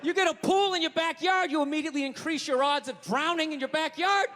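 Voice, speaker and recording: A man's voice: extremely high pitch, fast talker, bright, forceful tone. An American man performing stand-up comedy in a sarcastic, incredulous manner, recorded live in a reverberant space. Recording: reverberant live hall with faint noise.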